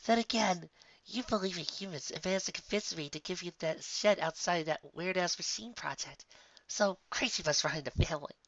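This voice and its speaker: A man's voice: high-pitched, fairly quick, fairly bright tone, lo-fi sound, pitched above the performer's own voice. Fretful storybook mare performed by a man, raised well above his natural voice, dramatic and exasperated. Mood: exasperated, teasing. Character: anxious, fretful female pony confectioner.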